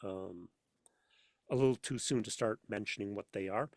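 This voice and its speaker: A man chatting casually as a podcast guest, speaking haltingly and ramblingly with emphatic, theatrical flourishes. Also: on the low side, dry recording, clean studio sound. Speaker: podcast guest, audio drama voice actor and sound editor. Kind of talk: casual interview chat.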